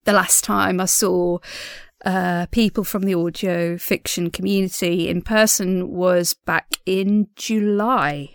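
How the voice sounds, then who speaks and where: A woman's voice: fairly low pitch, steady tone, slow pace, vocal fry, clear, resonant tone, no room sound, studio quality. A woman speaking in a casual audio diary, chatty and a little tentative, with a self-effacing manner. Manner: giggles often while talking. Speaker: podcast diarist and audio drama creator.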